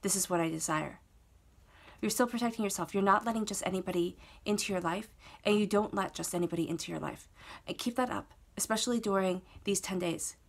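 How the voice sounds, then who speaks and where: A woman giving a tarot reading on her own, her voice stuffed up from a cold. She speaks in a steady, reassuring way, circling back over phrases and pausing to check in with the listener. Her pitch sits in an ordinary middle range for a woman. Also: vocal fry. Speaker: tarot reader. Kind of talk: solo tarot reading to camera.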